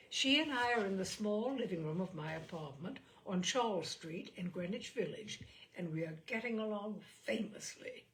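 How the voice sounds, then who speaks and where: Creaky-voiced woman reading stories expressively. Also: mid-range voice, low-quality recording. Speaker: host of a story-reading series. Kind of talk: expressive literary reading with chatty introduction.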